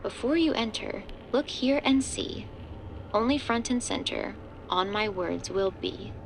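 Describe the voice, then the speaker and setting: A woman's voice: a little high, animated, measured pace, gravelly, slight background noise. A woman reading scripted narration for a horror audio drama. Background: background music.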